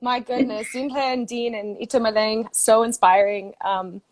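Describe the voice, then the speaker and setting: A woman with an American accent, a Brazilian American guest speaking earnestly on a video call, often pausing with ums and soft laughs. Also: fairly high pitch, fairly even intonation, a crowd in the background. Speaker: guest supporter. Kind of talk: casual video call conversation. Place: home, stayed in the US.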